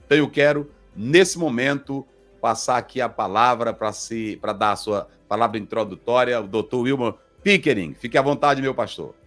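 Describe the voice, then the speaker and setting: A man's voice: medium pitch, moderate pace, a bit bright, soft background music. A man, a pastor, hosting a live online talk and introducing his guest with earnest respect. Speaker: pastor and host.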